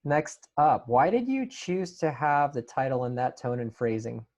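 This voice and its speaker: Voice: medium pitch, expressive, measured pace, darkish tone, muffled recording. Casual Q&A host, mid-range voice, enthusiastic, reads audience questions and comments on them. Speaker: host reading out fan questions. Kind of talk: casual panel Q&A.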